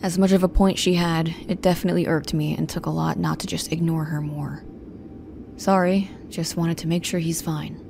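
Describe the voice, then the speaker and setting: A woman's voice: sits low, steady tone, moderate pace, creaky, noisy background. A woman playing a guarded, protective young woman, narrating an audio drama in the first person in a restrained, brooding, stern manner. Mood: irked, wary.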